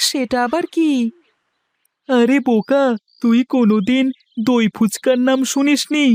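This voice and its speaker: A woman's voice: high voice, unhurried, darkish tone, no room sound. A woman voicing a young village child in a children's cartoon, with exaggerated childlike delivery. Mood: excited, curious. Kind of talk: Bengali children's cartoon dubbing.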